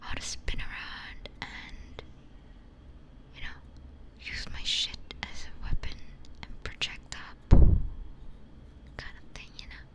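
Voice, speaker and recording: Female ASMR streamer, breathy whisper, close binaural mic, playful and rambling chat with repeated phrases. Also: takes it slowly, pauses often. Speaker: ASMR livestreamer chatting with viewers. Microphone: close binaural ASMR microphone.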